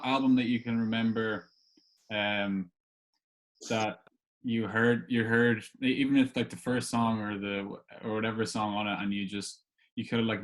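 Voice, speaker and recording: A man hosting a casual interview, asking questions in a hesitant, halting way. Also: on the low side, a bit bright, rough recording. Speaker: podcast host, interviewer.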